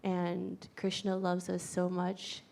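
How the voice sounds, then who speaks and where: Low, gravelly American woman, flat and soft. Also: takes it slowly, slight background noise. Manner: soft-spoken, even, with small fillers. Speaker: pilgrim sharing reflections. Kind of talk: grateful personal testimony.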